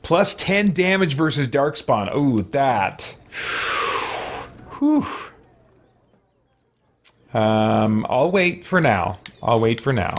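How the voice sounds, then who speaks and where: Man with lively pitch, casual gaming commentary. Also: very slow, pauses often. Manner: thinking aloud, mumbled asides and exclamations. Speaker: gaming video host. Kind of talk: casual let's play commentary.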